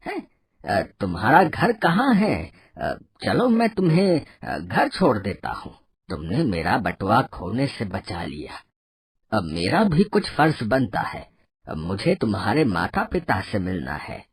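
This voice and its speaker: A performer voicing a kindly, wealthy old man who advises in a hesitant, fatherly way for an animated moral story. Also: medium pitch, breathy edge, dry recording, full character voice. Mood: gentle, fatherly. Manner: hesitant, drawn-out, fatherly delivery.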